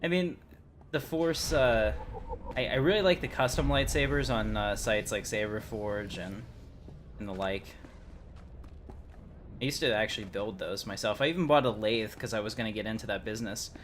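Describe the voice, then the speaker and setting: Male livestreamer, informal chatty commentary, fairly low pitch, slight background noise. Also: normal speed. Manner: rambling, informal. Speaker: game livestreamer. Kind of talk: casual livestream chat and commentary.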